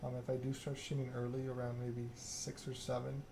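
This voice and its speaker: Male ASMR streamer, mid-pitched and flat in intonation, measured halting delivery, noisy ambient recording. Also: vocal fry. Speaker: game streamer, host. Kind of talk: soft-spoken ASMR reading and stream chat.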